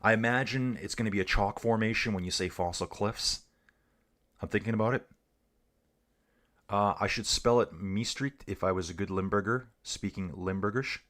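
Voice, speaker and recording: Low-voiced chatty man commentating a stream. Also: moderate pace, a bit bright. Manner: chatty, says "guys" and "dude" often. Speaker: livestream cycling commentator. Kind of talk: casual livestream commentary reading chat. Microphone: earbuds.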